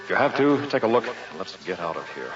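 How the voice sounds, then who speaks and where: Low-voiced, wary radio-drama explorer. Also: male voice, big pitch swings, fast talker. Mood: reluctant. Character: wary younger male explorer-scientist, uneasy and reluctant. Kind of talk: old-time radio drama acting. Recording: muffled vintage radio, distant, music behind.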